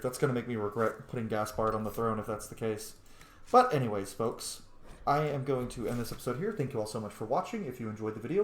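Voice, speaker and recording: A man hosting a gameplay video with casual, informal, chatty commentary. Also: medium pitch. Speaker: gaming video host. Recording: roomy, echoey voice track.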